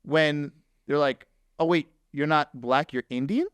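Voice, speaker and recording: A man's voice: fairly high pitch, a little slow, smooth, no room sound. A man from New Jersey hosting a podcast in casual chat, talking loosely with lots of filler words. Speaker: podcast host.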